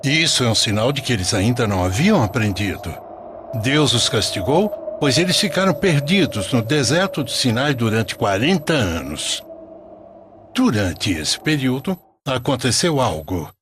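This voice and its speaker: Male storyteller, mid-pitched and animated, for religious cartoon narration; faint background noise. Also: moderate pace.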